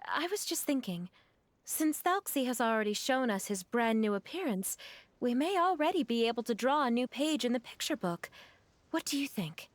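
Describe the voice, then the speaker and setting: A woman playing a gentle, polite young mother, earnest and measured, in a video game cutscene. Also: fairly high pitch, sing-song, no room sound. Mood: polite, composed.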